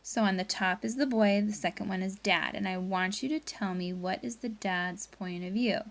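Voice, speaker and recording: A woman's voice: medium pitch, normal speed. A woman teacher leading a read-aloud lesson for pupils, reading expressively and guiding them through a story. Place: indoors at a laptop.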